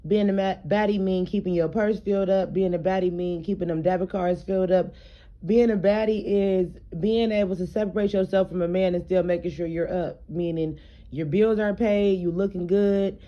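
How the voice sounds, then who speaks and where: A woman with a raspy, slightly hoarse voice, chatting casually to her followers in a home vlog while she does her hair, loose and streetwise with plenty of fillers. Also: medium pitch, steady tone, normal speed, muffled recording. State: hoarse, throat congested. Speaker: vlogger.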